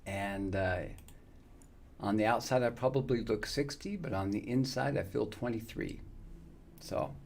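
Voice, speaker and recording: A man in his fifties, chatting casually and warmly with viewers on his live gaming stream, rambling with many fillers. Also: on the low side, gravelly. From United States. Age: 50s.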